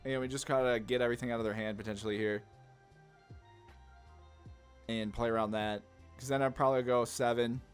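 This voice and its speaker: A man giving casual gameplay commentary, rambling informally as he thinks through each move out loud. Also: medium pitch, fairly even intonation, fairly quick, long pauses, smooth, faint music behind. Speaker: gaming content creator, player.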